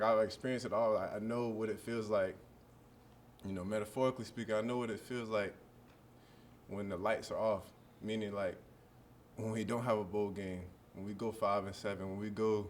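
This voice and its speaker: A man in his early twenties, a college football player, answering press questions in a relaxed, rambling way full of fillers. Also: on the low side, slight background noise. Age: early 20s.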